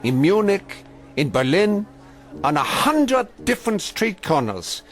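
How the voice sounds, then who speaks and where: Slow, impassioned weary old man. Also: sits high, very animated, faint music behind, exaggerated voice. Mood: scornful, defiant. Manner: slow, emphatic, bitterly rhetorical with repeated phrases. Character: weary old German immigrant man, anguished, defiant, scornful of fascists. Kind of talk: theatrical radio drama acting.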